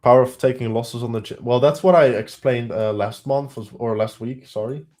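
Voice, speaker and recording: A man's voice: mid-range voice, fairly even intonation, normal speed, darkish tone. A man with a heavy Belgian accent hosting a live trading stream, thinking aloud as he counts through trades and results.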